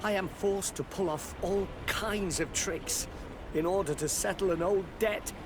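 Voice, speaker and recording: A man's voice: high-pitched, unhurried. A man playing an evasive, beleaguered customs officer in a video game, hesitant, stammering and deferential. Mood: desperate. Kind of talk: video game cutscene acting. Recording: noisy outdoor ambience behind the voice.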